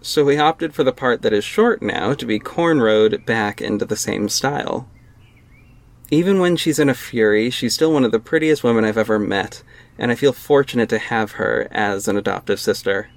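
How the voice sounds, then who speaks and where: A man's voice: medium pitch, moderate pace. Wry young man narrating a drama. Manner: wry, casual, conversational narration. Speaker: narrator and lead actor. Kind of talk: first-person audio drama narration. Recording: music bed underneath.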